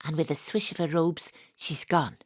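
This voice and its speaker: A woman's voice: low-pitched, moderate pace, no echo, clean studio sound. An actress with a Welsh accent playing a wry, sporty amateur detective who narrates her own story with self-mocking humour. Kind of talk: first-person radio drama narration. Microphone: home studio mic.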